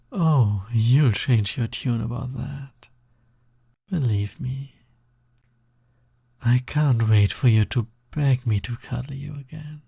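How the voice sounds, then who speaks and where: A man playing a sly, smug, possessive naga roommate in an intimate audio roleplay, with a breathy, gravelly voice and a teasing drawl that often drops toward a whisper. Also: medium pitch, warm tone, no echo, studio quality, heavily acted. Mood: possessive, coaxing. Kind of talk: intimate scripted audio roleplay, close ASMR-like delivery.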